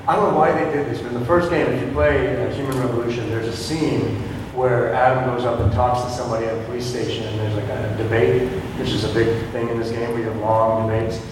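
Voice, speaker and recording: A man's voice: mid-range voice, quick pace. Man telling stories in an echoey hall. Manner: relaxed, self-deprecating, laughs often. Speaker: voice actor guest. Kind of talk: panel interview, casual storytelling. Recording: roomy hall, distant mic, background noise.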